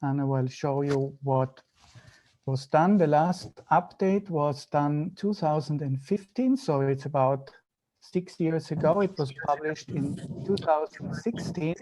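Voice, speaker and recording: Austrian guy doing an online med lecture, slow n careful, soft-edged mellow voice, german native. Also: a little high, slight background noise, low-quality recording. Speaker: medical lecturer, neonatologist. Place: video call.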